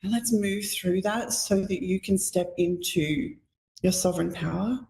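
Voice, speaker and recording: A woman hosting a live psychic radio show, slightly croaky from being sick, talking in a gentle, flowing, spiritual way. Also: medium pitch, measured pace. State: croaky from illness. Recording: echoey room.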